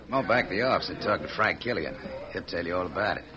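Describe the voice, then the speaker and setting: An actor playing a rough, eager outlaw henchman in an old radio western, talking in folksy cowboy slang. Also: low-pitched, quick pace, close to an everyday voice. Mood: sly, friendly. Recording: old radio recording, saloon chatter.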